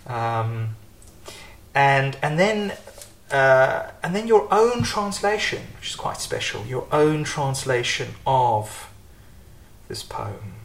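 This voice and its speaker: A man's voice: mid-range voice, very animated, takes it slowly. A man hosting a podcast, chatting casually with a guest in a home kitchen and talking with many fillers and restarts. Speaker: podcast host. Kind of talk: casual conversational interview.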